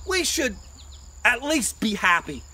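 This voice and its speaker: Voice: mid-range voice, big pitch swings, slow, sharp tone, heavily acted. A storyteller voicing an earnest outcast man with pleading, dramatic delivery in a tale for children. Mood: hopeful. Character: earnest afflicted outcast man, anguished but hopeful. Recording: outdoor recording with insect noise.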